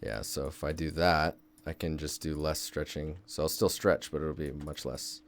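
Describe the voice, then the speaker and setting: A man's voice: a bass voice, level tone, normal speed, gravelly, slight background noise. A young man from Seattle giving a laid-back live-stream tutorial at his desk, thinking aloud and answering chat as he works. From Seattle, Washington State, US. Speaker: game environment artist streaming. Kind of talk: casual live-stream tutorial, chatting with viewers.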